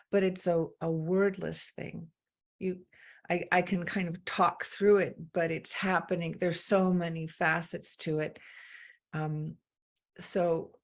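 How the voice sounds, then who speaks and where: A woman from England, a guest in a conversation, speaking reflectively with frequent pauses and ums. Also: deep voice. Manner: slow, thoughtful, with many pauses and ums. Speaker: interview guest.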